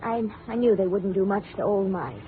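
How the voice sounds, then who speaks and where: An actress playing a nervous, frightened widow with halting, pleading speech in 1950s radio melodrama style. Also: female voice, mid-range voice, close to an everyday voice. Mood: nervous, defensive. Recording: tinny, faintly noisy 1950 radio broadcast.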